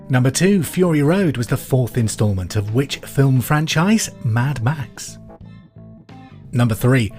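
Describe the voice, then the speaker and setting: A man hosting a pub quiz podcast, reading questions and answers in a clear, deliberate way with casual asides. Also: medium pitch. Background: music under the voice.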